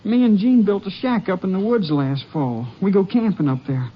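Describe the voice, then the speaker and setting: An actor in a 1950s radio drama playing a frightened teenage farm boy with an anxious, breathy voice. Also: high-pitched, moderate pace. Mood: worried. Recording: dull lo-fi old radio recording.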